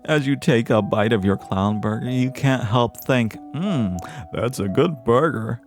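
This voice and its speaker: A man voicing a sad, needy clown pitchman who delivers a radio ad as dramatic, wistful, drawn-out storytelling. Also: faint music behind, lightly acted. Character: melancholy, needy male clown pitchman, theatrically friendly. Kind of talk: theatrical radio ad monologue.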